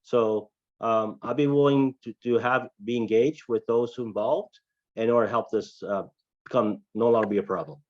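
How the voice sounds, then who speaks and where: A man with a Canadian accent answering as a council candidate, measured and hesitant, often repeating himself. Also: mid-range voice, steady tone, lo-fi sound.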